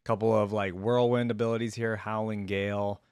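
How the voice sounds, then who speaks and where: A man's voice: lowish voice, flat delivery, a little fast, darkish tone, soft background music. A man giving casual, informal reaction commentary with gamer slang, hosting for viewers. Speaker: host reacting to game content.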